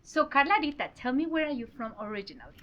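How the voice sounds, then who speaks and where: A woman hosts a relaxed interview, friendly and chatty. Her voice is bright and fairly high. The sound is a little dull and lo-fi. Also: very fast. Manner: friendly, chatty, laughs often. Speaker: show host. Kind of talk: casual interview chat. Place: online show interview.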